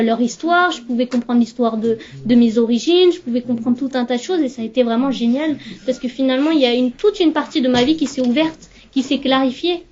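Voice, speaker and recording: A young woman whose first language is Urdu, talking reflectively in an interview and often pausing to search for words. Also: high voice, a little mellow, a little hiss or hum. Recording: slightly muffled recording.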